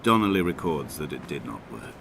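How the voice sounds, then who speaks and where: An actor playing a lone, grieving castaway, narrating in a measured, solemn voice as a literary game monologue. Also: male voice, a bass voice, big pitch swings, vocal fry, fairly bright tone, slight background noise, exaggerated voice. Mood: somber, reflective. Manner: brooding, measured, solemn, poetic delivery. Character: lone, grieving, injured male castaway narrating his island exile. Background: prominent music.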